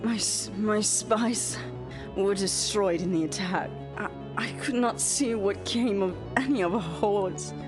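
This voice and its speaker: A woman's voice: mid-range voice, music in the background, natural voice. A woman playing a devoted villainous sorceress queen in a fantasy audio drama, speaking formally and deferentially. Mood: shaken. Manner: formal, deferential, theatrical, measured.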